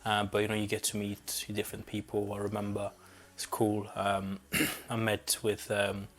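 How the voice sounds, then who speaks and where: A man's voice: on the low side, monotone, moderate pace, fairly bright tone. A young man from Rwanda who grew up in Belgium, answering interview questions reflectively and searching for words. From born in Rwanda, grew up in Belgium.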